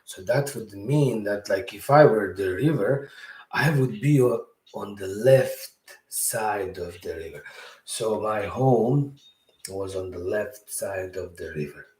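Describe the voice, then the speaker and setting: A man's voice: on the low side, takes it slowly, darkish tone, roomy sound, far from the mic. A man from Bosnia whose first language is Serbo-Croatian, telling a story at home in a rambling, casual way, correcting himself and chuckling as he goes. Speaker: podcast host. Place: room at home.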